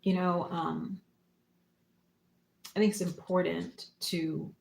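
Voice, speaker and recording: A woman hosting a spiritual livestream, talking casually and reflectively in short phrases and gentle prompts with pauses. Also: lowish voice, vocal fry. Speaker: psychic medium and spiritual teacher hosting a livestream. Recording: roomy, echoing room sound.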